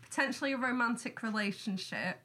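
Teenage woman, nineteen, bright and fairly high voice, giggly podcast storyteller. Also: brisk. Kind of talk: casual chat among friends.

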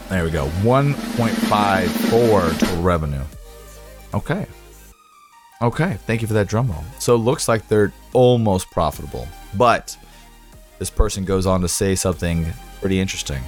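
A man with a raspy, hoarse voice chatting casually with his livestream viewers, rambling, sarcastic and swearing as he reads figures aloud. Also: low-pitched, normal speed, faint music behind. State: hoarse, sore voice.

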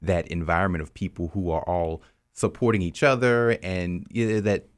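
A man's voice: deep voice, fairly even intonation, normal speed, clear, resonant tone. A man hosting a podcast in a casual, conversational interview style. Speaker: podcast host.

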